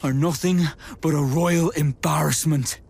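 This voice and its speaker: A man's voice: a little high, steady tone, measured pace, creaky, darkish tone. A man playing a hot-tempered, defiant mercenary vice captain in a video game, accusatory and emphatic. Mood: indignant, angry. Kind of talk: video game cutscene acting.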